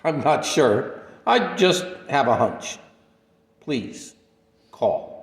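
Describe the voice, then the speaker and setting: An actor playing a polite, soft-spoken older gentleman with a gentle, formal manner in a radio play. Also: medium pitch, very animated, drawn-out words, heavily acted. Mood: gentle, insistent. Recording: echoey room with long reverb.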